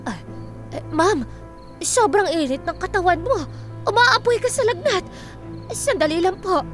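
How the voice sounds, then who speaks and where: An actress playing a deferential, fretful household maid in a Filipino radio drama. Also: female voice, very high-pitched, expressive, unhurried, soft background music. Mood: alarmed.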